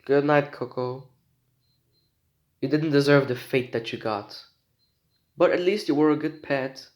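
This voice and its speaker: Sick teen boy vlogging, rambly and jokey, lots of slang, restarts a lot, medium pitch. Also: unhurried. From Romania. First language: Romanian. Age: teens. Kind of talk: casual rambling monologue.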